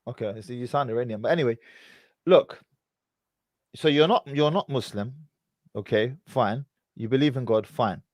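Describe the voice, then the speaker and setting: A man's voice: mid-range voice, moderate pace, pauses often, darkish tone, no echo, studio quality. A man hosting a livestream, pressing a caller with blunt questions and cutting in often. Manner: pushes back, interrupts with blunt questions. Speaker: host.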